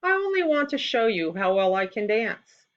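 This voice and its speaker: A woman's voice: a little high, big pitch swings, moderate pace. Woman as a coaxing, sweet-talking turtle, slightly muffled. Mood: innocent, coaxing. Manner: coaxing, innocent persuasion.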